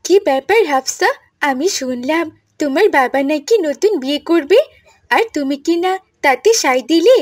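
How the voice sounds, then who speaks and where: A woman playing a meddling, scheming aunt in an animated moral story, scolding and insinuating with pointed questions. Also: high-pitched, moderate pace, no echo, clean studio sound. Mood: scolding, warning.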